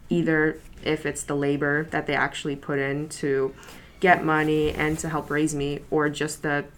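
A high school senior girl whose first language is Polish, chatting easily in an interview with many fillers and light laughs. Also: normal speed. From New York City, USA. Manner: relaxed, chatty, frequent fillers and soft laughs. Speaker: interview guest, student. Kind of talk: casual interview.

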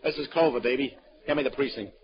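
An actor playing a brisk, wisecracking New York police detective in a vintage radio crime drama, with slangy delivery. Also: male voice, medium pitch, noisy background, close to an everyday voice. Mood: casual, businesslike. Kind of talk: 1940s-50s radio crime drama acting. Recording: dull vintage radio recording.

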